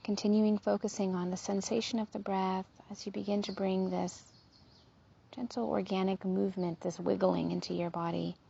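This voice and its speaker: A woman leading a guided body scan meditation in a gentle, soothing, unhurried manner, with a soft, breathy, slightly husky voice. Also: medium pitch, flat delivery, dull audio.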